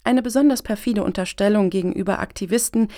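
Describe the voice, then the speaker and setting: A woman's voice: mid-range voice, fast talker, warm tone, lightly acted. An actress playing an earnest, self-important middle-aged woman activist in a satirical radio play, speaking formally and wordily. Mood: indignant.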